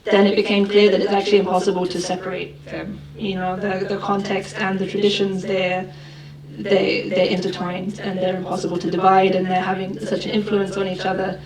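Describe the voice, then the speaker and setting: A woman's voice: mid-range voice, completely flat delivery, a little fast. A woman filmmaker answering questions at a festival Q&A, thoughtful and full of fillers and restarts. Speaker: film director. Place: festival hall with audience. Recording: roomy hall, far from the mic, crowd noise.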